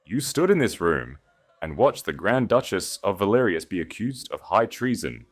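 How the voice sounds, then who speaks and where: Male narrator as regal grand duke, low voice, grave, commanding, declamatory, storybook romance drama. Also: big pitch swings, moderate pace. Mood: stern accusation. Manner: declamatory, solemn, regal delivery. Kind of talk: dramatic romance audiobook dialogue.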